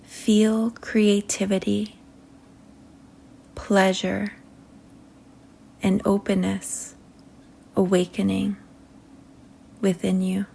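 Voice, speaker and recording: Very slow, creaky-voiced woman guiding meditation. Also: mid-range voice, flat delivery, warm tone. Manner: slow, soothing delivery with long pauses between short phrases. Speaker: meditation guide. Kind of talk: guided meditation.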